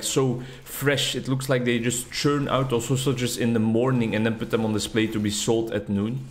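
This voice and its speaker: A young man, a native Dutch speaker, chatting in a rambling, reflective way while commenting on his gameplay. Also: mid-range voice. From Netherlands or Flanders. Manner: rambling, reflective, self-deprecating. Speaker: gaming YouTuber. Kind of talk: casual commentary over gameplay.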